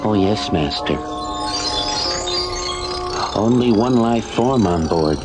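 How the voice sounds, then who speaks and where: Deep-voiced, fretful robot servant. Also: male voice, expressive. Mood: eager to please. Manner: halting, apologetic, deferential. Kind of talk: science fiction radio drama acting. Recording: loud background music on radio drama.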